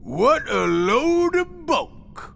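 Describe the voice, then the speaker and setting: Cartoon villain, creaky gravel, drawn-out taunts, burly bully, slangy, music underneath. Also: male voice, very high-pitched, very animated, a bit bright, a little hiss or hum, heavily acted. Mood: scornful. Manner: jeering, slangy tough-guy talk. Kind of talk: animated game voice acting. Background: game music.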